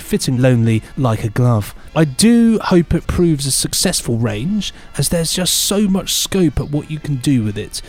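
A man's voice: fairly high pitch, darkish tone. A man co-hosting a podcast, joking around in casual chat and reading listener emails aloud. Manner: playful, rambling, giggly. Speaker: podcast co-host.

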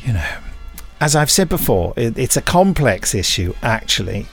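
A man's voice: mid-range voice, sing-song, moderate pace, slightly rough, faint music behind. A man co-hosting a podcast in jokey, casual chat, punning and playfully mock-indignant. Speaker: podcast co-host.

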